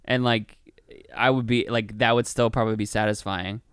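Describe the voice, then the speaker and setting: A man's voice: on the low side, fairly even intonation, moderate pace, fairly bright tone, clear, resonant tone, no echo, studio quality. A man co-hosting a podcast in casual chat, rambling and peppering his talk with "like" and swearing. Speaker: podcast co-host. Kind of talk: casual chat, film review.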